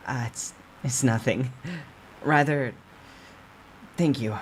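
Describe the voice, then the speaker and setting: Guy doing a timid shaken young lady, higher voice, slow, hesitant n polite, story reading. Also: a little hiss or hum, natural voice, low-quality recording. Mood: shaken, concerned. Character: shaken, gentle young woman office worker. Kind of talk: dramatic web novel storytime reading.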